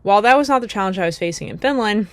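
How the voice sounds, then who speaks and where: A woman's voice: medium pitch, lively intonation, rapid-fire, no echo. A young American woman talking through her thoughts in a casual, reflective way, with many fillers and restarts. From United States. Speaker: vlogger.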